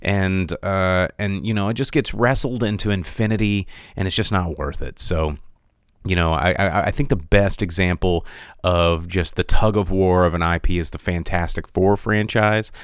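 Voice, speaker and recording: A man hosting a podcast in casual solo commentary, rambling and animated with sarcastic asides, on a close mic. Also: low voice, moderate pace, clear, resonant tone, no echo. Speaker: podcast host.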